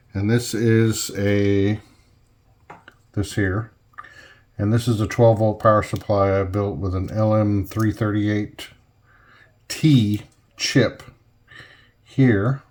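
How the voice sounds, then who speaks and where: Slow-talking man muttering over hands-on work. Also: fairly low pitch, a little mellow. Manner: folksy, muttering to himself while working. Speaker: hobbyist host demonstrating an electronics conversion. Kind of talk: casual hands-on workbench narration. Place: indoors at home workbench. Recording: clearly noisy home recording, clicks.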